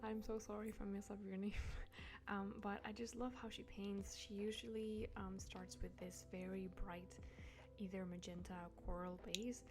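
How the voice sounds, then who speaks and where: A tired woman narrating her painting vlog in a soft, half-whispered, slightly raspy voice, rambling gently. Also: medium pitch, little pitch movement, normal speed. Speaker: painter and video creator.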